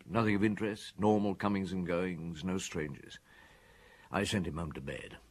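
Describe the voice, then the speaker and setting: An actor playing a weary, brusque police chief inspector in a radio drama, gruff and curt. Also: male voice, deep voice, steady tone, normal speed. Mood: brooding. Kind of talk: radio drama acting and narration.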